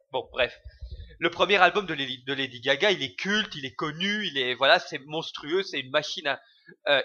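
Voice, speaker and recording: A man's voice: high-pitched, sharp tone, dull audio. A young man ranting casually in a video blog, rambling and heated, with crude slang and lots of fillers. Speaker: vlogger.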